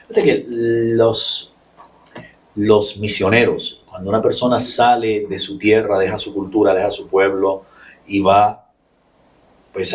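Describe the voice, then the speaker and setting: Puerto Rican man, low voice a little off-mic, measured friar giving a calm interview. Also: distant mic, rough recording. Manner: measured, explains with many pauses and restarts. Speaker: Capuchin friar and guest. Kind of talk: explanatory interview answers.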